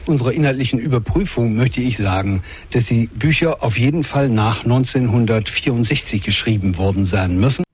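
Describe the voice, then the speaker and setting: A man's voice: mid-range voice, fairly quick, darkish tone, husky. An older man, an official making a formal, emphatic statement at a press conference, heard in an archival recording. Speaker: archive president.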